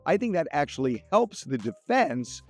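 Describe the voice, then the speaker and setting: A man's voice: a little high, sing-song, moderate pace, faint music behind. A man giving conversational legal commentary, explaining through examples and repetition.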